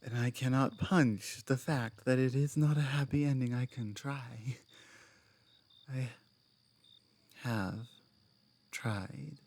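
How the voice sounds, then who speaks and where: A woman playing a strong, blunt orc woman in an ASMR roleplay, speaking in an earnest, halting and deliberate manner. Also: very deep voice, steady tone, darkish tone, full character voice. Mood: earnest, awkward. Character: strong proud female orc laborer, blunt, flirtatious, grateful.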